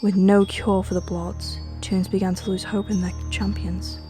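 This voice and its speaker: A woman's voice: mid-range voice, flat delivery, normal speed, warm tone. A woman narrating a scripted fantasy trailer in a solemn, dramatic storytelling manner. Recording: music behind the voice. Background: music.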